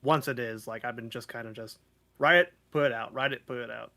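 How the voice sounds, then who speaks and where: A man's voice: medium pitch, fairly quick, vocal fry, bright, forceful tone. A man in casual chat as a guest on a livestream, joking and teasing. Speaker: stream guest, writer.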